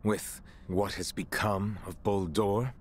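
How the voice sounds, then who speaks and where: An actor playing a remorseful imprisoned nobleman warrior in a video game, speaking in formal, measured, resolute phrases. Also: fairly low pitch, steady tone, a little hiss or hum. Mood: guarded, stern. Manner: formal, archaic, measured speech. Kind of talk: video game cutscene acting.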